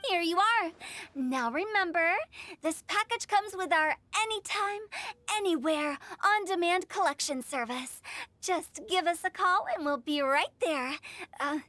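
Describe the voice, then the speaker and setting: A woman's voice: extremely high pitch, a little slow, sharp tone, no room sound, full character voice. A woman voicing a playful, theatrical young funeral director in a video game, mock-formal and teasing. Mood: sales patter.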